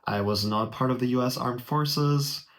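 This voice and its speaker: A man's voice: medium pitch, moderate pace, a bit bright. Brief: young German man, native German speaker, casual friendly how-to walkthrough, close webcam in a small room, mid-range voice. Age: young adult. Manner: friendly, direct, speaks to the viewer. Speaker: vlogger explaining a college application.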